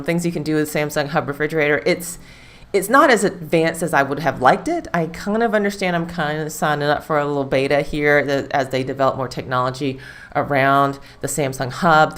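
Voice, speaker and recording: Chatty low-voiced woman host. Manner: chatty, rambling with fillers. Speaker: livestream host. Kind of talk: casual live-stream chat.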